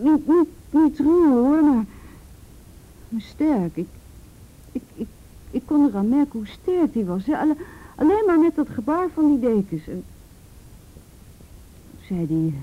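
A woman's voice: a little high, narrow, tinny audio. An actress playing a frightened teenage girl in a Dutch radio play, stammering and repeating words as she recounts painful memories.

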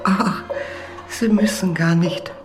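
A woman's voice: on the low side, steady tone, takes it slowly. An actress playing a stubborn, ailing old mother in a radio drama. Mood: weary, grumbling. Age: older. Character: stubborn old mother in hospital, proud socialist. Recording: music behind the voice.

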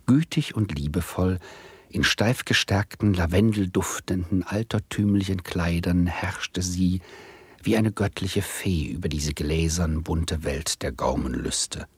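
An actor playing an adult nephew who narrates a radio play in a wistful, ironic, literary way. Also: male voice, deep voice, a little slow, slightly rough, no room sound, full character voice. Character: wry adult nephew, stalled doctoral student, narrating.

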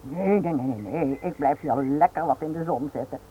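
A woman's voice: deep voice, moderate pace, dull audio, close to an everyday voice. A woman playing a well-bred, teasing, chatty wife in a radio drama. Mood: chatty, curious. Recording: thin, noisy old radio recording.

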